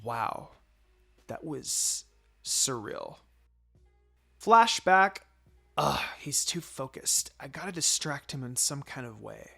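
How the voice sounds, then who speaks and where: A man reading a first-person story aloud in an expressive, playful way. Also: mid-range voice, slow pace, creaky, pauses often, breathy edge. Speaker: fan fiction reader.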